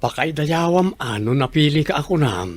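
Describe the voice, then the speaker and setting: A man's voice: fairly high pitch, lively intonation, moderate pace, no echo. A man playing a proud, supportive middle-aged father in a Filipino radio drama, with a reassuring manner.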